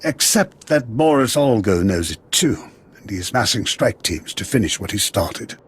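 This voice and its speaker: An actor playing a dignified, earnest leader in a video game, husky and gravely formal. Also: male voice, on the low side, very animated, normal speed. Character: earnest, dignified male Republic leader giving briefings. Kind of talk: video game cutscene acting.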